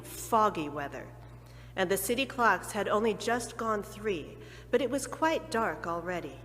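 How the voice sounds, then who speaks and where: A woman narrating a dramatic reading of a classic story with expressive, theatrical pacing. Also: medium pitch, in a large hall, a little hiss or hum.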